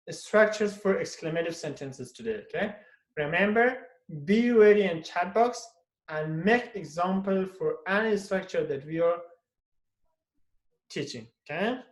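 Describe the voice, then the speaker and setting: A man's voice: sits high, echoey room. A man teaching English in a live online class from home at night, tagging "okay?" onto nearly every phrase and acting out each example. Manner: tags "okay?" after most phrases and acts out each interjection.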